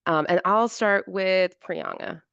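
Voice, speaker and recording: A woman's voice: mid-range voice, takes it slowly, creaky, fairly bright tone, smooth, no room sound. A woman moderating a virtual career panel, friendly and chatty, joking easily with the panelists. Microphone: video call. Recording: dull, dry video call audio.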